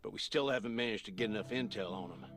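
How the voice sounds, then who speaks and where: A man's voice: on the low side, quick pace, over music, lightly acted. An actor voicing a grave, cautious male leader of a survivor camp, giving earnest briefings in a video game. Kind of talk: video game quest dialogue.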